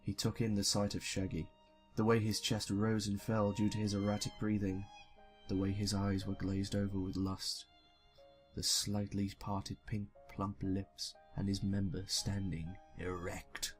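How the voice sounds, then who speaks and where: Young man, low voice, casual reading, sweary joking asides, music behind. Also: completely flat delivery, measured pace. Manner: sweary, self-deprecating. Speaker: YouTuber reading fan fiction.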